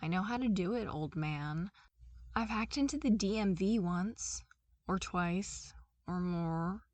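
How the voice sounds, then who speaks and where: A woman reading aloud and voicing a feisty, sardonic young woman with sharp, emphatic lines. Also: fairly low pitch, takes it slowly, dry recording. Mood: sassy.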